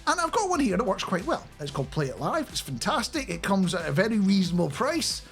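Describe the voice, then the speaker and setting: A middle-aged man giving a casual, chatty and animated explainer into a close studio microphone. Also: sits high, fast talker, soft background music. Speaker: radio producer and software developer presenting a video. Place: small home studio indoors. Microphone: close studio microphone on a boom arm.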